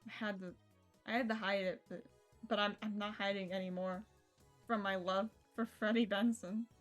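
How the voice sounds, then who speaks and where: A woman's voice: mid-range voice, fairly even intonation, normal speed, muffled recording. A young woman live streaming a game, rambling and joking casually, swearing now and then and reading text aloud. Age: young adult. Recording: music playing behind the voice. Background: visual novel game music.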